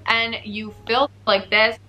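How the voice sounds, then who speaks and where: A young woman with a Canadian accent walks through things one at a time in an upbeat way. Her voice sits a little high. It sounds bright. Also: level tone, takes it slowly, low-quality recording. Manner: upbeat, explains item by item. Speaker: vlogger. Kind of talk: show-and-tell packing vlog.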